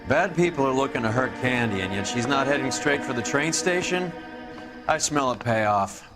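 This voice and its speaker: An actor as a world-weary American police detective. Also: male voice, medium pitch, lively intonation, moderate pace, loud music behind the voice, close to an everyday voice, poor audio. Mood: gruff, businesslike. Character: wry, world-weary male police detective, tough talker. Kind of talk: video game dialogue, 1940s crime drama.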